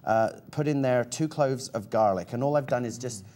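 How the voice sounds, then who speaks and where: A man from Malaysia giving a relaxed cooking demonstration on a TV show, chatty and lingering over his food descriptions. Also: mid-range voice, moderate pace, slight background noise. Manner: chatty, lingering on food words with many ums. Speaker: cooking guest. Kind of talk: casual cooking demonstration chat. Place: TV studio kitchen.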